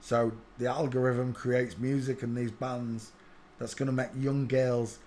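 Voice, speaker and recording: Male channel host, mid pitch, casual and scoffing, tossing off asides as he comments on clips. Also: little pitch movement, a little mellow, a little hiss or hum. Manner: brash, scoffing, jumps between asides and quick remarks. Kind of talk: casual mocking commentary over video clips.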